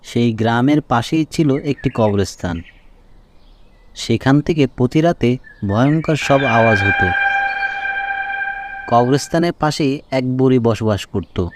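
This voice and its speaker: A man's voice: medium pitch, darkish tone. Man narrating a cartoon folk tale. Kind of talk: scripted children's cartoon story narration.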